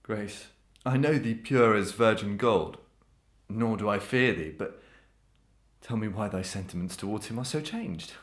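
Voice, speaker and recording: Man acting menacing, tormented husband, deep voice. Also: lively intonation, measured pace, reverberant. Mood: intense, probing. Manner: commanding, grandiose archaic declamation. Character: tormented, menacing husband and confessed murderer in Victorian melodrama. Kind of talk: old-fashioned melodramatic stage acting.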